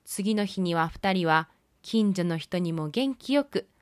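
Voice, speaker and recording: A woman hosting a film review podcast, reading her scripted commentary in a measured, polite, explanatory way. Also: lowish voice, lively intonation, clean tone, dry recording, studio quality.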